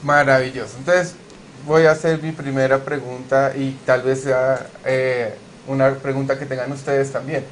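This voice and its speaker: A man's voice: mid-range voice, flat delivery, moderate pace, a little hiss or hum. A man hosting a recorded class module, who talks in a friendly, chatty way with frequent fillers and introduces his guest. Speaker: course instructor, host. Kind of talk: hosted class interview.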